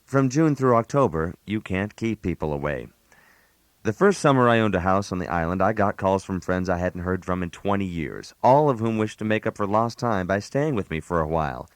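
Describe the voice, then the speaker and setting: A put-upon, fussy host delivers a comic radio monologue in a low, close and dry voice. He is precise and mock-formal, handing out genteel etiquette advice with dry wit. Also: expressive, moderate pace, dry recording. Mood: wry, exasperated. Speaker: narrator. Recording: analog radio aircheck.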